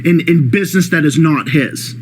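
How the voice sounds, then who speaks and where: A man with a Boston accent delivering a profane, mocking reaction rant, often shouting. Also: on the high side, normal speed, slight background noise. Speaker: YouTube ranter.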